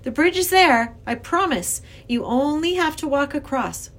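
An earnest young girl from a children's storybook, acted by a woman, high in pitch and swooping with excitement. She speaks insistently, pleading like someone who badly needs to be believed. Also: unhurried. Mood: firm, urgent.

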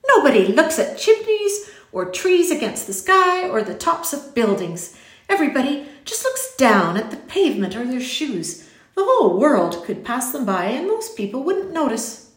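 Storybook role: courteous, whimsical little gentleman played by a woman, pitched up and swooping, genteel exclamations, roomy sound. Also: big pitch swings, moderate pace. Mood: irritable. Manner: exclamatory, genteel, formal phrasing. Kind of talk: audiobook character voice.

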